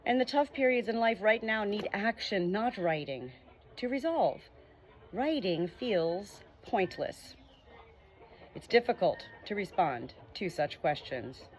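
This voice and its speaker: Female reader for a nonfiction audiobook, earnest and expressive, middle pitch. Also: slow pace, a little hiss or hum. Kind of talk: reading a nonfiction book aloud.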